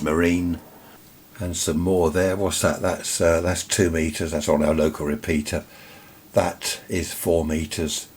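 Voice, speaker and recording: A British man with a very low voice chats casually through a hobby demonstration. He rambles in a matter-of-fact way and puts questions to himself. A faint noise sits behind him. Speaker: amateur radio hobbyist presenter. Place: indoor room with radio gear. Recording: steady background noise.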